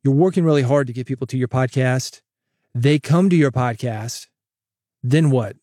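A man hosting a solo podcast, coaching listeners in an energetic, direct way on a broadcast mic. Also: mid-range voice, moderate pace, no room sound, clean studio sound. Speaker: podcast host. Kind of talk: solo podcast advice with sponsor read. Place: home studio, processed dry voice. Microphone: studio broadcast dynamic mic.

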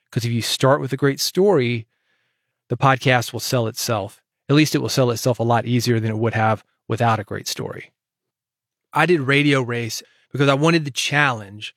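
A man talks as a solo podcast host in a conversational, explanatory style. His pitch sits in the middle of the male range, and the sound is dry with no room around it. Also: a bit bright, no echo. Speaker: podcast host and audio producer.

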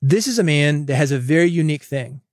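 A man's voice: fairly high pitch, lively intonation, fast talker, darkish tone, dry recording, clean studio sound. A man hosting his own solo podcast, talking casually and coaching listeners, often calling them "man". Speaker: podcast host.

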